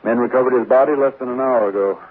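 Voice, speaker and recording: An actor playing a polite, probing sheriff in a 1940s radio drama, measured and slightly hesitant. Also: male voice, mid-range voice, lightly acted. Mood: courteous. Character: courteous, probing small-town sheriff. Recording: old radio, narrow band, faint noise.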